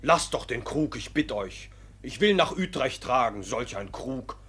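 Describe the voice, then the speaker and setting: Male, young peasant fiancé, radio play. Husky, medium pitch, sullen, plain-spoken and jealous. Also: animated, measured pace, a bit bright. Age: young adult. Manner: blunt, grumbling. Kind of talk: 1950s German radio play acting.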